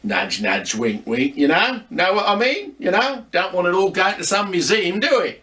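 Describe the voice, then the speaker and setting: A blustering, gruff pub landlord talks in a sly, conspiratorial way, as a man puts him on. The voice rises and falls a lot and sits a little back from the mic. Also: high-pitched, big pitch swings, moderate pace, bright, forceful tone, distant mic, voice pushed up, heavily acted.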